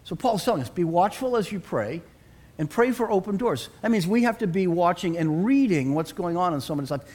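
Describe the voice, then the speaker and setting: A man's voice: high voice, expressive, fairly quick, a little mellow. A middle-aged male pastor preaching an earnest sermon and asking the congregation to respond.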